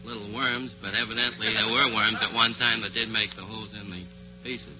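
A man's voice: fairly low pitch, fairly even intonation, fairly quick, music in the background. A man answering as a radio quiz contestant, explaining things earnestly in rather formal wording. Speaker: contestant, antique dealer. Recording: dull old-time radio recording.

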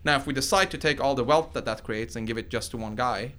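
His voice is smooth and clear, sitting at an ordinary male pitch. He is a man in his forties from Iran who grew up in Sweden. He answers interview questions conversationally, explaining things informally like a researcher turned founder. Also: fast talker, sharp tone. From born in Iran, grew up in Sweden. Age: 40s. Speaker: tech company founder and computer science researcher being interviewed.

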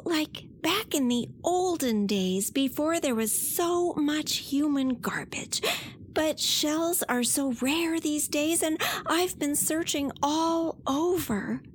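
A very high, childlike voice playing a plucky young octopus in a children's audio story. The delivery is earnest and unhurried, with slow, open-hearted phrasing, over faint background ambience. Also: female voice, a little hiss or hum. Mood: earnest, worried.

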